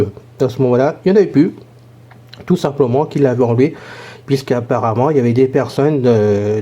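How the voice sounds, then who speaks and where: Hoarse, dark-voiced man chatting. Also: mid-range voice, dull audio. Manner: chatty, rambling with fillers. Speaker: tech tutorial presenter. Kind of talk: casual spoken tutorial.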